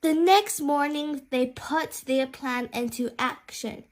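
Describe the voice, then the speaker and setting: A woman reading a children's bedtime story aloud, haltingly, with small stumbles and repeated words. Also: high-pitched.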